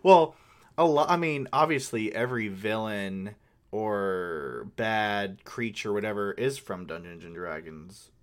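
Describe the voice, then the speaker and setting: A man co-hosting a casual conversation about tabletop gaming, talking in an informal, rambling and halting way. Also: on the low side. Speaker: co-host.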